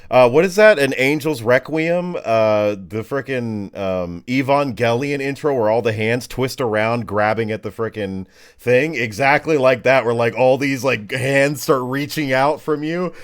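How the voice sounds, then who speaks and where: A man working as game master, narrating a tabletop game and explaining rules casually, informal and animated, often fumbling his words. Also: medium pitch, measured pace, clear, resonant tone. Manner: informal, animated, fumbles words, uses makeshift sound effects.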